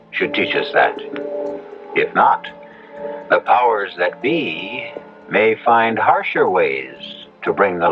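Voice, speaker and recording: Music plays behind a muffled old radio broadcast. An American man hosts and narrates in a low voice, measured and portentous, in scripted mystery style. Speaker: host and narrator.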